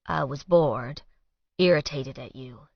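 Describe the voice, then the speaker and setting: A woman narrator voicing a stoic, remorseful man, speaking softly and solemnly in a flat, ragged tone for an audiobook romance. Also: a bass voice, vocal fry, no echo, lo-fi sound, exaggerated voice. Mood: quiet, uneasy. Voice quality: ragged, soft. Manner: flat, quiet, solemn, slow. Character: stoic, gruff man, quietly remorseful lover.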